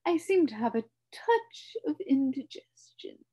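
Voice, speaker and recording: A woman's voice: high-pitched, big pitch swings, a little slow, dark tone, dull audio, pitched above the performer's own voice. A woman voicing a pompous, wordy male humbug bug who talks in grand, theatrical phrases.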